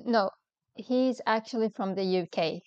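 A woman's voice: mid-range voice, a little slow, darkish tone, no echo, dull audio. A young woman from Sweden, a guest on a podcast, speaking hesitantly over a call with many ums and restarts. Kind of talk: casual interview chat. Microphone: remote call.

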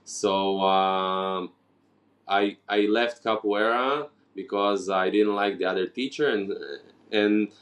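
Slow, hesitant low-voiced man. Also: steady tone, fairly bright tone. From Ukraine, raised in Israel. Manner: hesitant, many fillers and restarts. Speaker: guest. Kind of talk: casual interview conversation.

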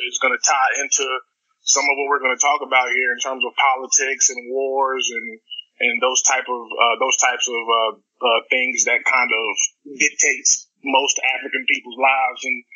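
A man from the Congo, a regular contributor, chatting in a relaxed way and saying "you know" a lot. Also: medium pitch. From Democratic Republic of Congo. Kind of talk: casual podcast chat. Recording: muffled call audio.

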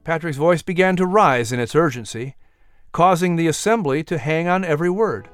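A man's voice: a little high, moderate pace, a bit bright, smooth, no echo, soft background music. A man narrating an audiobook story in a dramatic, scripted style.

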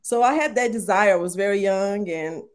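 A woman's voice: medium pitch, moderate pace. Brazilian-accented woman telling her story. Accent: Brazilian Portuguese. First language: Portuguese. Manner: earnest, warm, faith-filled, frequent fillers. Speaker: podcast guest. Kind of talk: casual storytelling interview.

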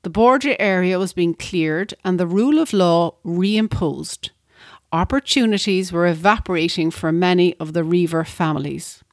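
A woman with a lowish voice reads a prepared history segment in a steady, measured way. The sound is dry and close, with no room echo. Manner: measured, reading from a script. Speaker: podcast co-host. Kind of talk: scripted narration.

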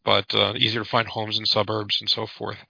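A man chatting casually as a podcast co-host, halting and wry, with some mild swearing. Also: fairly low pitch, monotone, gravelly, husky, no echo. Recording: dull lo-fi podcast audio.

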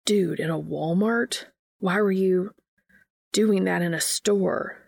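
A woman's voice: lowish voice, takes it slowly, vocal fry, husky, dry recording, clean studio sound, full character voice. A woman voicing an awkward, blunt, sheepish man with hesitant, slangy speech in a read-aloud story. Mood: incredulous. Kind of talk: fan fiction character dialogue.

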